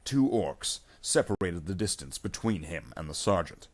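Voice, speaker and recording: A man reading a scripted action story aloud in a measured, dramatic way as its narrator. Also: deep voice, sing-song.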